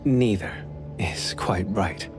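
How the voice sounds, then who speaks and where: An actor playing a calm, courteous, enigmatic man in an anime-style game, speaking in a measured, polite way. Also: low-pitched, over music. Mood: gentle, composed.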